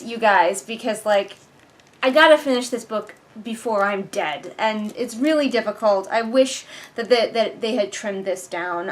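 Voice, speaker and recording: A woman's voice: medium pitch, fairly bright tone, a little hiss or hum. A woman hosting a casual read-along, reviewing a book with exasperated, sarcastic commentary. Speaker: book reviewer and video host.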